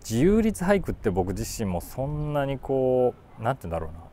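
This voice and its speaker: A man in his forties talking casually about a book, thinking aloud and reading short lines with animated emphasis. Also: mid-range voice, brisk. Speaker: YouTube host, voice actor. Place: outdoors on a city rooftop.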